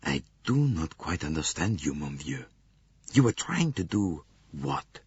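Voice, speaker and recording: A muffled storybook recording of a man playing a courteous gentleman. His voice is very deep and husky, and he speaks slowly, with puzzled doubt. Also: sing-song, dry recording, heavily acted.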